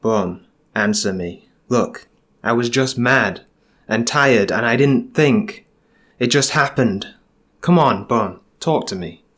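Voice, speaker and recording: A man voicing a menacing, controlling husband who talks in a low, cool tone. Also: unhurried, natural voice. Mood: placating. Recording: faint music underneath.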